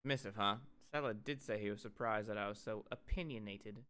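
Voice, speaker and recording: A man's voice: fairly low pitch, a little fast, sharp tone, smooth, pitched below the performer's own voice. A man voicing a sarcastic, fed-up young woman in a video game dub, reading in a dry, sardonic way. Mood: wry, resigned.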